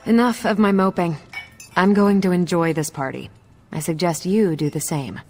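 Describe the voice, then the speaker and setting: A thoughtful game superheroine, with a slightly low voice. She speaks in a measured, sincere way, like someone weighing her doubts. Soft music plays behind her. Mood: resolved. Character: thoughtful, troubled female superhero, grown and composed. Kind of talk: video game cutscene acting.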